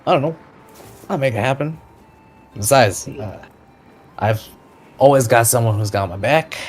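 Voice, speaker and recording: A man's voice: mid-range voice, animated, measured pace, close to an everyday voice. A man playing a laid-back, self-assured mentor who would be king, in a tabletop audio drama, talking with encouraging assurance. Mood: reassuring. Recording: music behind the voice.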